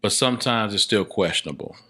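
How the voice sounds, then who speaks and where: A man's voice: lowish voice, lively intonation, a little fast, bright, forceful tone, slightly rough. A man speaking as a filmmaker guest in a casual interview, often repeating words as he reasons things out. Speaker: podcast guest, filmmaker and stage play director.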